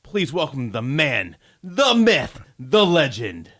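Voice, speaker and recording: A man's voice: on the high side, very animated, takes it slowly, fairly bright tone. A man hosting a chatty interview show, with a jokey, teasing way of talking. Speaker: talk show host.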